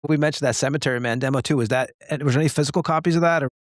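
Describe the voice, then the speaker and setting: A man hosting a podcast, asking casual interview questions in an informal, halting way. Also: mid-range voice, dry recording, clean studio sound.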